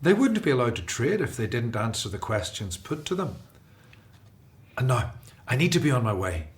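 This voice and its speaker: A man's voice: mid-range voice, very animated, moderate pace, lightly acted. Guy playing a runaway prince in a bible radio play, earnest and determined, mid-range voice. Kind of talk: radio drama acting.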